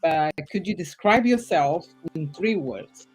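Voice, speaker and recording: A woman's voice: on the low side, expressive, unhurried, darkish tone, slightly rough. Woman podcast host from Portugal, enthusiastic, laughs a lot, faint music behind. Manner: enthusiastic, laughs often, speaks non-native English with slips. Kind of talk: casual interview.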